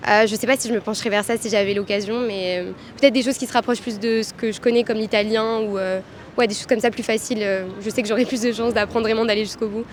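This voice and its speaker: A woman talks fast and fluently, weighing her answer as she goes, her voice slightly high. Faint street noise runs behind. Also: fairly even intonation.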